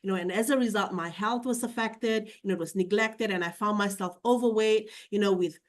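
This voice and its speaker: A woman's voice: mid-range voice, fairly quick. Brief: woman from Fiji with Fijian as her first language, co-hosting a video call presentation, chatty and full of fillers. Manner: chatty, many "you know" fillers. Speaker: co-host.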